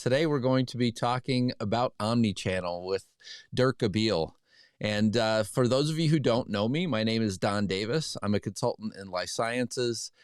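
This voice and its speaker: A man with a clean, smooth voice hosts an interview podcast. The sound is dry, with no room around it. He speaks as a presenter introducing the show and its guest. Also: mid-range voice, dry recording. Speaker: podcast host, life sciences consultant.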